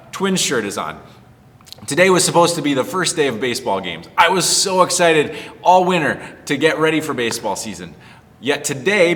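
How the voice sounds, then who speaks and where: A man's voice: a little high, fairly bright tone. A man speaking as a pastor in a casual children's storytime, explaining things simply. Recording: reverberant, echoing room.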